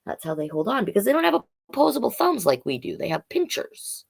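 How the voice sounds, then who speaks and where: A woman's voice: a little high, sing-song, a little fast, creaky, a little mellow. A woman hosting a children's storytime, reading aloud expressively and playfully, with chatty explanatory asides for young listeners. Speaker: host reading a children's picture book.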